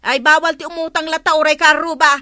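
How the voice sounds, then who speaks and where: Bright, sharp village shopkeeper woman. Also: high voice, little pitch movement, fast, no echo, clean studio sound. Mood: firm, exasperated. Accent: Ilocano. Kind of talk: Ilocano radio comedy drama.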